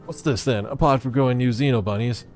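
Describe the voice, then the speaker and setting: A man's voice: mid-range voice, fast talker, natural voice. Man playing a blustering paranoid male reporter, melodramatic, indignant, video game character, music behind. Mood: suspicious. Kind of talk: dramatic voicing of game dialogue.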